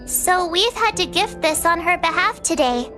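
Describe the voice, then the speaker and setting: A small, perky game companion with an extremely high, childlike voice. The delivery is cute, and faint music plays underneath. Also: female voice, expressive, moderate pace, pushed and bright, exaggerated voice. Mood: earnest. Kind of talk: video game dialogue acting.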